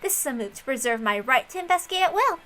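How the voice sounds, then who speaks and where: Woman voicing a smug, bright-voiced girl detective. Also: high voice, animated, quick pace. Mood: smug, scheming. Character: confident, scheming young girl detective.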